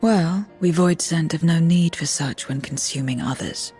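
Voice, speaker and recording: Deadpan, low-toned otherworldly void woman. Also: a little slow. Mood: flat, disdainful. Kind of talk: video game cutscene acting. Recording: music behind.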